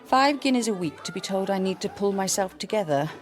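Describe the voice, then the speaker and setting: An actress playing a flirtatious, bored upper-class wife in a period radio drama, teasing and sardonic. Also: female voice, mid-range voice, expressive, a little fast, lightly acted. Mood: bitter, wry. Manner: arch, teasing. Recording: music behind the voice.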